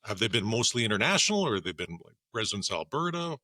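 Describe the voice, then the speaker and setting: Quick bright-voiced host guy, keeps saying yeah exactly, dry sound. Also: fairly low pitch, sing-song, no echo. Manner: quick, eager agreement, lots of backchannel. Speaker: podcast host. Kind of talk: casual interview questions.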